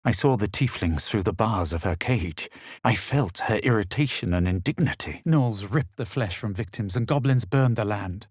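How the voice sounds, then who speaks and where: A low-voiced, self-assured young fantasy hero for a video game. He speaks with measured superiority and a husky edge, as if every phrase were written out. The sound is close and dry. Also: lively intonation, darkish tone, dry recording. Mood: grave. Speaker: video game player-character voice actor. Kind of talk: scripted game voice lines, narration and quips.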